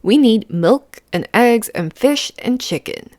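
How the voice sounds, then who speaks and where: Storybook father cat, done by a woman, cheerful and practical, swinging pitch, dry close sound. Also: expressive, slow, darkish tone, no room sound. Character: Dad, cheerful father cat in a picture book.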